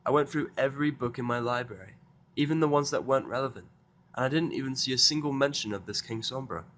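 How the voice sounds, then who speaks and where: A man's voice: mid-range voice, fast talker, a bit bright, slight background noise, voice pushed up, natural voice. A man voicing an anxious, bookish young female unicorn with a fretful, apologetic manner in a fan fiction audio drama. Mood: pleading, apologetic.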